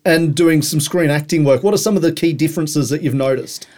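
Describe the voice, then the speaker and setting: A man's voice: a little high, brisk, a little mellow. A man interviewing a guest in a casual chat, sometimes giving advice and sometimes teasing with a dry joke. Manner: teasing, mentoring, dry joking.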